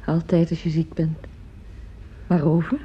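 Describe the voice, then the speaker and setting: An actress playing a caring, gently amused mother in a radio play, soothing and gently questioning. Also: low voice, level tone, unhurried, husky, full character voice. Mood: concerned, soothing. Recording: dull lo-fi old radio recording.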